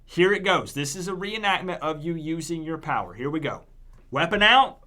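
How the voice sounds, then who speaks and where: A man's voice: on the high side, lively intonation, moderate pace, bright, forceful tone. A man hosting a gaming livestream, chatting casually in an emphatic, demonstrative manner. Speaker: livestream host.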